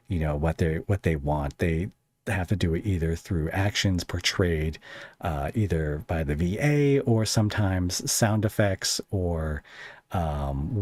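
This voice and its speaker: A man with a low voice hosts a casual interview podcast. He phrases things haltingly, working out each thought as he speaks. The sound is dry, with very little room in it. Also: darkish tone, no room sound. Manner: halting, thinking aloud while phrasing questions. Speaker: podcast host interviewing a guest.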